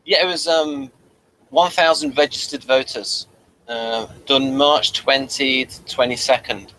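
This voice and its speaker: A man hosting a casual episode review, speaking in a halting, hesitant way. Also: medium pitch, steady tone, a bit bright, a little hiss or hum, poor audio. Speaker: review host.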